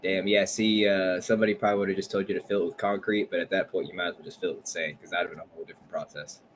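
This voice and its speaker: A man's voice: deep voice, steady tone, racing, a little hiss or hum. A man co-hosting a casual podcast from home, joking often, laughing easily and swearing freely. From United States. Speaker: podcast co-host. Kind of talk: casual chat. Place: home, remote recording. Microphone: home podcast mic.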